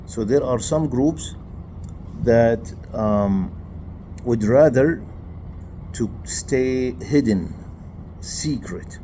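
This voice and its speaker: A man who grew up in Syria, giving an informal religious class, explaining with many fillers and restarts and letting his voice trail off quietly at the ends of phrases. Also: mid-range voice, slight background noise. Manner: switches into recited Arabic phrases. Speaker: religious teacher. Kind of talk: informal recorded class lecture.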